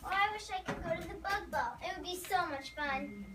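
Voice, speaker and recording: A woman's voice: very high voice, expressive, brisk, crowd noise. A woman playing a sweet, downtrodden young spider in a readers theater show, wistful and polite. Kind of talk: readers theater stage performance. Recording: noisy, echoey hall from far off.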